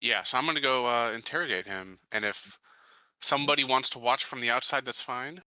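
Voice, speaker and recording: A man's voice: mid-range voice, a little fast, sharp tone, clean tone, no echo, poor audio. A man playing a tabletop game, chatting casually and matter-of-factly as he talks through his character's actions.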